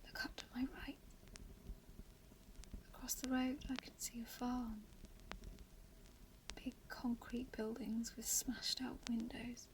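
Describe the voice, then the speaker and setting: A woman playing a weakened, haunted lone survivor in a horror radio play, speaking in halting, breathy, whispered fragments. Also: on the high side, level tone, slight background noise, low-quality recording. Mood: bitter, hushed. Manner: halting, fragmented phrases with long pauses. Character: dying lone female survivor, entangled, haunted, bitterly resigned.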